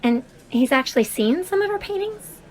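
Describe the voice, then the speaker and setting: Young woman, high pitch, earnest, wary, questioning, hesitant, audio drama dialogue. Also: lively intonation, moderate pace, darkish tone, rough recording. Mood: curious, questioning.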